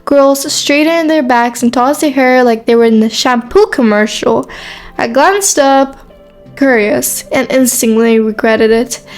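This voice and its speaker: A woman playing a shy but sarcastic teenage new girl, narrating a scripted romance story in the first person. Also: sits high. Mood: self-conscious, wry.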